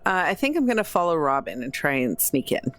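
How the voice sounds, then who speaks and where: A woman's voice: sits low, very animated, brisk, a bit bright. A woman bantering casually as a player in a tabletop game.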